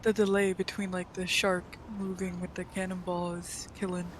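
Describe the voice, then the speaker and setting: A woman's voice: fairly low pitch, flat delivery, normal speed, noisy background. A woman chatting casually as a co-commentator on a video game stream. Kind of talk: casual chat.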